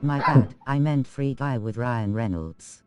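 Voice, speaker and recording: A male voice reading out viewer chat messages in a flat, mechanical way. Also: medium pitch, unhurried, faint music behind. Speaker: chat messages read aloud.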